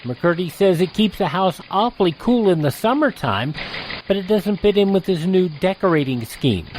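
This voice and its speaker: A man's voice: sits high, a little mellow, a little hiss or hum, low-quality recording. An American man reading scripted news copy as a radio host.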